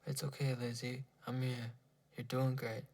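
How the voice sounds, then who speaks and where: A man playing an earnest scientist husband in a horror story, reassuring and insistent, with a gravelly voice. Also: mid-range voice, little pitch movement, a little slow, darkish tone, natural voice. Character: earnest male scientist husband pleading and reassuring his wife. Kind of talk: dramatized horror narration dialogue.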